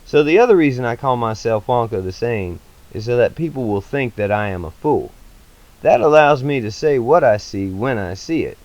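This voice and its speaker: Man playing an earnest, eccentric scientist, swooping pitch. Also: lively intonation, normal speed, darkish tone, highs cut off, lightly acted. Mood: earnest, playful. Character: eccentric gentle male scientist, earnest and childlike.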